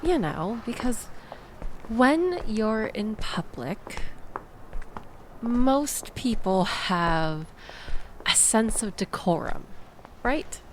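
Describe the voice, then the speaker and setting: Slow-talking, sardonic young woman, played by an actress. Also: on the high side, big pitch swings, slight background noise, exaggerated voice, rough recording. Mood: resigned, wry. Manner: wry, exasperated, wordy, theatrical emphasis with sighs and groans. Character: sardonic young woman venting about a bad date. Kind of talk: intimate audio roleplay, conversational monologue.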